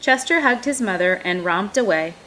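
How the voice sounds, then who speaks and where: A woman narrating a children's picture book in an expressive storytelling style. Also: medium pitch, moderate pace, a little hiss or hum, low-quality recording. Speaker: storybook reader.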